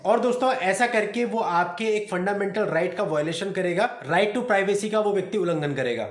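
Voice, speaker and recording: A man with a high-pitched voice explains things like an advocate teaching his viewers. He is didactic and speaks straight to the listener. The sound is roomy, with clear echo. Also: normal speed. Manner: didactic, addresses listeners often as friends. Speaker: advocate presenting a legal advice channel. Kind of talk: explanatory legal talk to camera.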